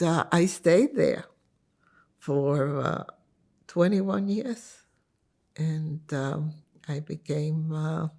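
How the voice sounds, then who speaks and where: An older woman, Lithuanian-born with Yiddish as her first language, tells her life story slowly and thoughtfully in an interview. Her voice is deeply gravelly and husky, and she speaks at a very leisurely pace. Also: mellow. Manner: reflective, unhurried storytelling. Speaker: interviewee giving testimony.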